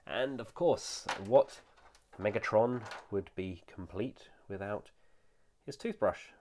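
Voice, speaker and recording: A man's voice: on the low side, slow, darkish tone, a little hiss or hum. A man with a nasal voice, recovering from a cold, giving an enthusiastic, chatty toy review with frequent "uh" fillers. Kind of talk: casual product review.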